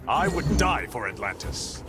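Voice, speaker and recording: A stern king of an undersea realm. He speaks formally and regally with wide swings of pitch, in a dramatic game style, over loud music. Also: very animated, a little slow. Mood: proud, defiant. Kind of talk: dramatic video game dialogue.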